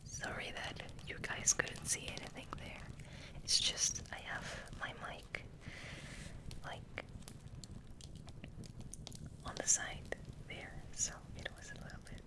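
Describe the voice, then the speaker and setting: Whispering woman, slow and hushed ASMR. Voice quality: breathy whisper. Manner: gentle, hushed, unhurried. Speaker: ASMR host. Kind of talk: ASMR, soft rambling chat and reading aloud.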